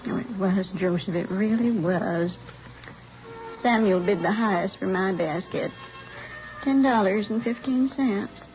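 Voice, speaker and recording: An older American woman playing a chatty, nostalgic elderly mother, gently rambling in 1950s radio drama style. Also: medium pitch, measured pace, lightly acted. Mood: fond, chatty. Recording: muffled vintage radio recording, faint music.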